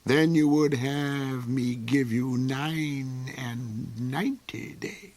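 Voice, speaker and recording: A man voicing a cold, cruel old duke who speaks slowly and mockingly, sometimes sinking to a hissing whisper. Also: mid-range voice, a little mellow, close to an everyday voice. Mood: sneering. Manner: slow, silky, mocking, drops into hissing whispers. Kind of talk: audiobook character voice.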